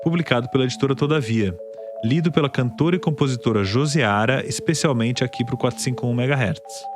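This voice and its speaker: A man presenting a scripted link between segments of a podcast in a clear announcing tone. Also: mid-range voice, a little fast, over music.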